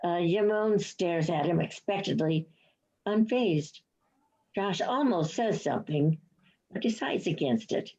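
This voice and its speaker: A woman's voice: fairly low pitch, expressive, unhurried, creaky, dark tone, husky. A woman reading stage directions as scripted narration, on a dull lo-fi recording. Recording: dull, muffled lo-fi sound.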